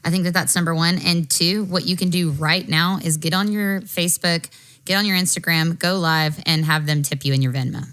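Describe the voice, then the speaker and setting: An American woman co-hosting a casual panel chat, talking briskly and frankly, swearing casually as she gives advice. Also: fairly low pitch, fairly even intonation, a bit bright. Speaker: podcast co-host.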